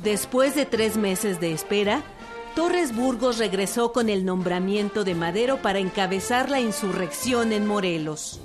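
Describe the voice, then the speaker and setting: A woman's voice: mid-range voice, animated, unhurried. Radio narrator: Mexican woman, mid-range voice, scripted documentary read over strong music.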